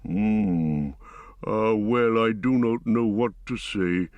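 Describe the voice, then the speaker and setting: A man voicing an ancient, slow and thoughtful tree-shepherd in an audiobook, musing and humming as he speaks. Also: fairly low pitch, darkish tone, no echo, highs cut off. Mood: unhurried. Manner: slow, deliberate, musing with hums. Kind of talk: audiobook character reading.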